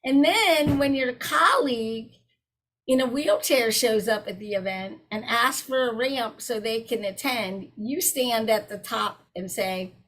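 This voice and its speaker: A woman's voice: a little high, unhurried, low-quality recording. Woman giving a direct talk. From South Carolina. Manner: warm, direct, addresses audience as y'all. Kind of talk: conversational presentation.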